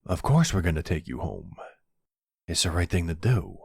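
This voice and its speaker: A man as a low, husky mafia boss. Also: wildly swooping pitch, normal speed, no echo, studio quality, full character voice. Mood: flirtatious.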